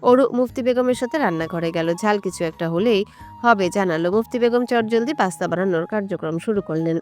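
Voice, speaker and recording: A woman's voice: mid-range voice, fairly quick, a little mellow. Female narrator for scripted romantic fiction, average register, light music bed underneath. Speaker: storyteller.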